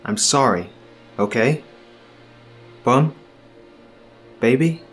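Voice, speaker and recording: A man voicing a menacing, controlling husband who talks in a low, cool tone. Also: animated, extremely slow, a bit bright. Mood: placating. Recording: faint music underneath.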